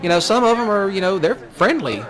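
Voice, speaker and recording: Animated Southern US man ranting, highish voice. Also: fairly quick. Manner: folksy, brash, repeats himself, rural slang. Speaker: streamer. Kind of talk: casual rambling rant over game play. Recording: noisy, with steady vehicle sounds behind.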